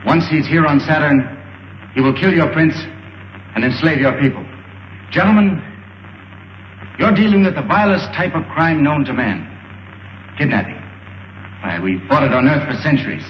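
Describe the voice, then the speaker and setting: An actor playing a bold, earnest young space pilot hero in a 1930s film serial, speaking in a clipped, declamatory way. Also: male voice, a little high, big pitch swings, normal speed, long pauses, slight background noise. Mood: urgent, earnest. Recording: muffled old film soundtrack, echoey, music behind.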